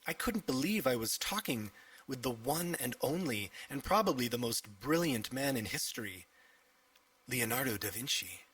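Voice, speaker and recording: A man reading a children's audiobook as a cheeky, excitable young boy, with animated, emphatic delivery. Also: mid-range voice, normal speed, far from the mic, lightly acted, low-quality recording. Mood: impressed. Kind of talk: first-person children's audiobook storytelling.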